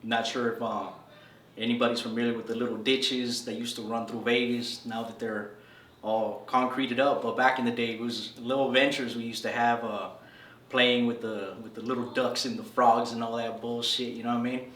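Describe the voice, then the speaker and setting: A man's voice: medium pitch, little pitch movement, moderate pace, a bit bright. A man in his thirties from El Salvador, telling his life story in a casual, slangy interview. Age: 30s. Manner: laid-back slangy storytelling, says "bro" a lot. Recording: echoey room from a distance.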